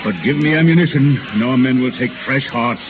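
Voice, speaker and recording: An actor playing a resolute, weary frontier cavalry major with terse, commanding speech, in 1930s radio drama style. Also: male voice, mid-range voice, brisk, lightly acted. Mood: determined. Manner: terse, commanding military delivery. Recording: scratchy, tinny 1930s radio recording, heavy noise.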